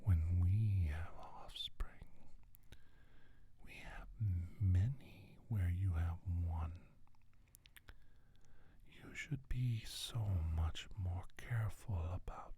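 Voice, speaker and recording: A man playing a menacing, condescending alien scientist in a slow, soft-spoken ASMR roleplay, with a breathy, husky near-whisper and deliberate, halting phrases. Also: low-pitched, expressive, exaggerated voice. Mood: veiled menace.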